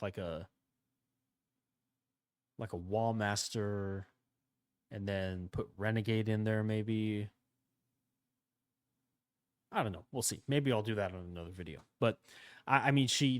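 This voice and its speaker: A man with a slightly hoarse voice from a starting cold, casually commenting on his gameplay as he thinks aloud. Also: lowish voice, no echo. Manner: rambling, relaxed, thinking aloud. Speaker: gaming channel host.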